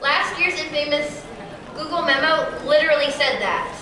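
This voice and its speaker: A woman's voice: sits high, moderate pace, crowd noise. Teenage girl, keynote talk, rehearsed delivery, far-off mic, faint room noise. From Kansas City area. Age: teens. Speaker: keynote speaker. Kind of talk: prepared conference talk. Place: large auditorium. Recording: echoey hall from far off.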